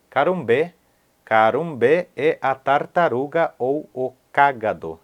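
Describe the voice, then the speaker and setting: A man from Paraguay giving a casual online lesson as a language teacher, slowly repeating each word to show its pronunciation. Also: on the low side.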